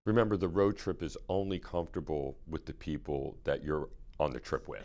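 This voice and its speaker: A man's voice: extremely low pitch, fairly quick, a little mellow. A man hosts a podcast interview. He speaks as the dean of a school, putting questions to his guests. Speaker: host, medical school dean.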